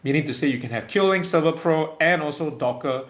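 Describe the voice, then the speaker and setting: A man presenting a product webinar in an informal, halting way, often checking in with small tag words. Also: on the high side, highs cut off. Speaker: webinar presenter for a tech company.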